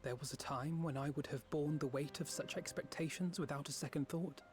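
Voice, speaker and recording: An actor playing a solemn, idealistic noble leader in a fantasy video game, speaking in a formal, eloquent way. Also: male voice, on the high side, fairly even intonation, brisk, close to an everyday voice. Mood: troubled, doubting. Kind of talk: dramatic video game voice acting. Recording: music behind the voice.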